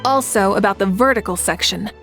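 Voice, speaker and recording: A woman's voice: mid-range voice, brisk, music in the background, natural voice. A woman playing a confident, gracious young warrior in an anime-style video game, poised and playful. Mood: thoughtful, frank.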